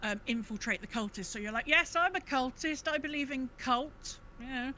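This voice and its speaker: A woman's voice: high voice, moderate pace. A British woman hosting a podcast, chatting casually and acting out her reactions as she tells stories. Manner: animated storytelling, acting out her own reactions. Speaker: podcast host. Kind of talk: casual gaming podcast chat. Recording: clear background noise.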